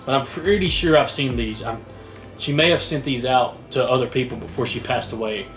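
Young guy talking it over at a table, fair and rambly, restarts a lot, middle pitch. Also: moderate pace, a bit bright, faint music behind, low-quality recording. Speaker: co-host. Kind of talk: casual video analysis chat. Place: small indoor room at a table.

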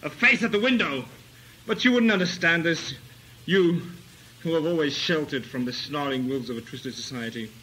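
An actor playing a brooding long-lost cousin in a comic radio melodrama, speaking in a grandiloquent, theatrical way. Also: male voice, fairly high pitch, moderate pace, sharp tone, slight background noise, low-quality recording. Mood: bitter ranting.

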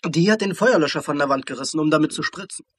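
Higher-pitched, reluctant young police trainee. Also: male voice, lively intonation, quick pace, husky. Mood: reluctant, irritated. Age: young adult. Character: injured, reluctant young police trainee, irritated by his mother. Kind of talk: radio crime drama acting.

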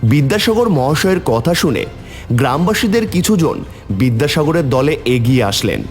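A man of medium pitch narrates a scripted story in a lively, expressive way. His voice carries a long reverb, with faint music underneath. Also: moderate pace.

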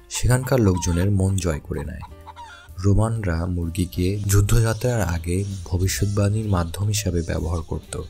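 A man narrating an educational history piece in a calm, explanatory storytelling manner, as a scripted voice-over. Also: deep voice. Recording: voice-over with faint background music.

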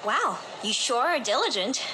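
A high, very animated voice over clear background noise. It is a woman playing a breezy, friendly cartoon character chatting to an acquaintance. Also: moderate pace. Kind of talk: acted fan-animation dialogue.

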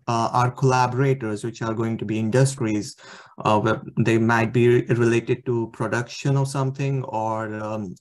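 A man's voice: medium pitch, a little mellow. A young man from India, a student giving a slide presentation over a video call, hesitant with many fillers. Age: young adult. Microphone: webcam on video call.

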